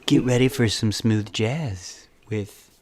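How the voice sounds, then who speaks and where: A man's voice: lowish voice, slow, a little mellow. A man chatting casually about an album, joking and rambling, often trailing off mid-sentence. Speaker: podcast co-host.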